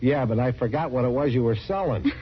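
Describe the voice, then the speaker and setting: An actor playing an earnest, exasperated husband in an old-time radio advertisement sketch, emphatic and explanatory. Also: male voice, medium pitch, lively intonation, a little fast, natural voice. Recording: muffled vintage radio recording, faint noise.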